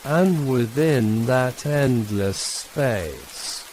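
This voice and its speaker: A man narrating a sleep story in a calm, hushed, soothing manner, leaving long pauses between short phrases. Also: mid-range voice, low-quality recording. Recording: steady rain and hiss behind voice. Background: rain.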